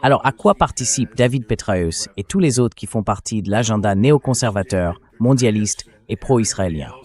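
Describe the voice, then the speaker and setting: A man with a fairly low, AI-generated voice delivers political and military commentary. He speaks as an interview guest, emphatically, in short declarative statements. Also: expressive, moderate pace, a little mellow. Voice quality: AI-generated translation voice.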